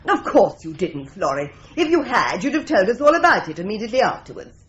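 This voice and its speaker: An actress playing a sardonic, teasing secretary in a radio drama. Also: female voice, medium pitch, big pitch swings, brisk, lo-fi sound, natural voice. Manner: teasing, wry.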